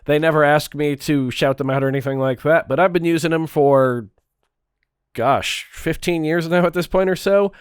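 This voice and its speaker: A man with a stuffed-up nose, talking through a relaxed, chatty walkthrough of his music gear. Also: on the high side, moderate pace, clear, resonant tone, no room sound, clean studio sound. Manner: relaxed, chatty, with fillers and small restarts. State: stuffed up, congested. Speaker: music gear video creator. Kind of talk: casual tutorial walkthrough.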